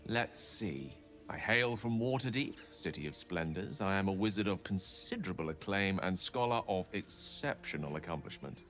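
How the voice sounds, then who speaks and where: Fields: wry, vain wizard scholar, lively and low. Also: male voice. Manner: wry, self-assured, playful. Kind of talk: video game dialogue.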